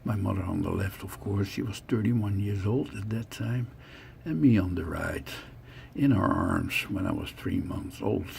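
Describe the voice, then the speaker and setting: A man in his sixties from the Netherlands, with a Dutch accent, narrates his life story over photos in short, halting phrases. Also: fairly low pitch, creaky, a little mellow, a little hiss or hum. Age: 60s.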